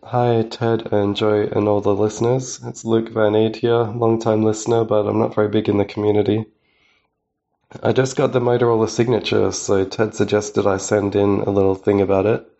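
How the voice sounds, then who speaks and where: A man from Australia with a cold, speaking a listener message in a flat, read-out way. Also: lowish voice, normal speed, gravelly, muffled recording. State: has a cold. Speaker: listener sending a voice clip.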